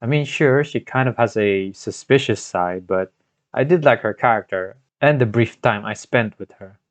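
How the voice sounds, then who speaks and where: A man's voice: on the low side, normal speed, no room sound. A man narrating a scripted video game story recap in a storytelling manner, recorded on a home microphone. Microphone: home microphone, scuffed in parts. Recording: dry, dull lo-fi home microphone.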